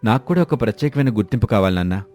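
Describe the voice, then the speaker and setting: A man's voice: lowish voice, lively intonation, rapid-fire, darkish tone, soft background music, natural voice. A man playing an earnest, pleading, ambitious younger brother from a village family in a moral story. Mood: discontented, earnest. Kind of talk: moral story character dialogue.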